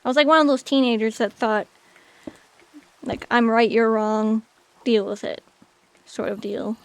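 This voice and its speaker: Chatty young woman streamer, slightly high voice. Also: fairly even intonation, a little slow, dry recording. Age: 20s. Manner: chatty, rambling, many "like" fillers. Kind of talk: casual chat with chat while gaming.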